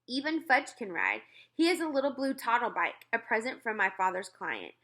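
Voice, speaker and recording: A woman reading a children's book aloud in an expressive storytelling style. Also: on the high side, moderate pace. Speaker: read-aloud reader.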